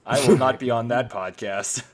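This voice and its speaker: A man's voice: mid-range voice, moderate pace, crowd noise. A man co-hosting a casual group podcast, rambling along in an amused, enthusiastic way. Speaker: podcast co-host.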